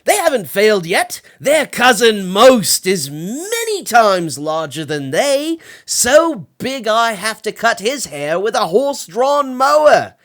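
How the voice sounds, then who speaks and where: Storybook barber hero, acted by a storyteller: confident, urgent, raised pitch, emphatic. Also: big pitch swings, takes it slowly, hardly any pauses, a bit bright. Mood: confident insistence.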